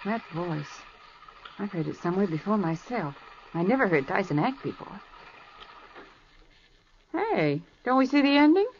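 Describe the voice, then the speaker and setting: An eager, curious sidekick. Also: female voice, mid-range voice, very animated, moderate pace, pauses often, slight background noise. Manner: eager, exclaiming. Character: curious cheerful young woman sidekick. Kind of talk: 1940s radio acting. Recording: muffled 1940s radio broadcast.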